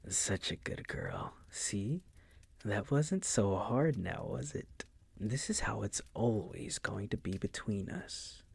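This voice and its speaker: A man playing a seductive, possessive vampire master in an intimate roleplay audio, teasing and coaxing in a breathy, husky voice that often drops to a whisper. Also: on the low side, lively intonation, darkish tone, no echo, exaggerated voice. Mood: smug, doting. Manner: slow, teasing, condescending coaxing.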